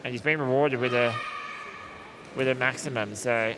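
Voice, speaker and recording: Man A man calls a live match, calmly explaining tactics and often restarting his sentences as he thinks aloud. His voice sits at an ordinary middle pitch. Steady noise runs under the stream. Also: moderate pace. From Australia. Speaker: sports commentator. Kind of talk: live sports commentary.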